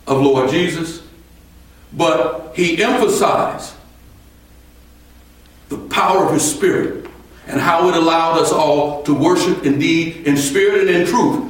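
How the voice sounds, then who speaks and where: A man preaching a sermon in a temple, his voice a little strained, speaking slowly and deliberately and rising to emphatic points. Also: fairly high pitch. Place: temple sanctuary. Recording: echoey hall from far off.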